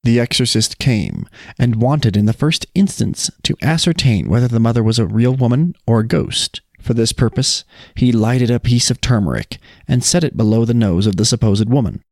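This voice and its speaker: A man co-hosts a storytelling podcast with a lively, varied pitch. His voice sits at a middle height in a very dry recording. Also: moderate pace, creaky, no room sound. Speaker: podcast co-host. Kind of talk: casual chat and storybook reading of folk tales.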